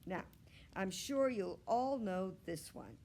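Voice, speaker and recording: A woman's voice: medium pitch, animated, takes it slowly, darkish tone, slight background noise. A woman reading aloud as a patient, pleasant female primary school teacher, putting questions to a class in an instructive way. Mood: encouraging.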